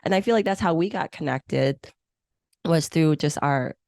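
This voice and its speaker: Guest: low-pitched woman from Hong Kong, English her third language, reflective and chatty, dry sound. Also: normal speed, creaky, no room sound. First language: not English; English is her third language. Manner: warm, reflective, many fillers and restarts. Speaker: senior meeting planner, guest. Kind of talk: conversational interview.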